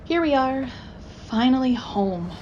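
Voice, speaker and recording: A woman's voice: high-pitched, expressive, unhurried, lo-fi sound, background noise. A woman playing a shy, weary accountant in a radio mystery drama, grumbling to herself in a guarded way. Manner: muttering to herself, sighing, guarded.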